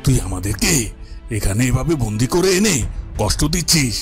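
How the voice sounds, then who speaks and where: A man's voice: mid-range voice, big pitch swings, moderate pace. An actor playing a righteous, defiant captive father in a fairytale cartoon, reproachful and declamatory. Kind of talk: dubbed cartoon fairytale acting. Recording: music behind the voice. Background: music.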